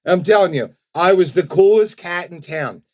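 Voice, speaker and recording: A man in his late thirties chatting casually as a podcast co-host, telling stories in an animated, slangy way with some swearing. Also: high voice, a little slow, dry recording. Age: 30s, almost forty. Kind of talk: casual storytelling chat.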